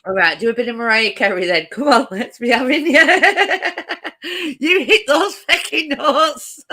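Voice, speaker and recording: A woman's voice: high-pitched, slow pace, long pauses, sharp tone. A woman co-hosting a casual live chat stream, teasing and laughing often.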